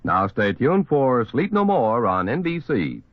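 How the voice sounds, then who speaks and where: Lively male radio promo announcer. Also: medium pitch, measured pace, no room sound. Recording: muffled, noisy vintage radio recording.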